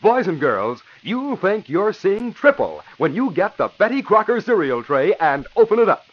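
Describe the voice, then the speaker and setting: A man's voice: high-pitched, big pitch swings, moderate pace. An American man working as announcer and narrator in a polished, emphatic 1940s radio style. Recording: dull vintage radio recording.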